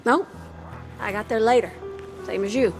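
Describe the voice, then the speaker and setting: A woman's voice: on the high side, moderate pace, creaky. Plucky, folksy young woman. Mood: reassuring. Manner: casual, folksy, earnest. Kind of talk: video game cutscene acting. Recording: background music under the voice.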